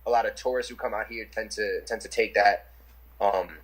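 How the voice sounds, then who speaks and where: Young new guy on a video call, kinda husky, lots of "like" and restarts. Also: fairly low pitch, fairly even intonation, a little fast, poor audio. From New York City. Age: young adult. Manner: rambling, lots of fillers and restarts. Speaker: interviewee, rapper. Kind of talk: casual chat.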